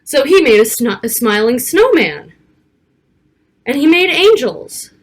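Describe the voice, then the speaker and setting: A woman reading a picture book aloud to children, expressive, with casual asides about the pictures. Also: sits high, unhurried. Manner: child-directed, expressive, stretching key words and pointing out pictures.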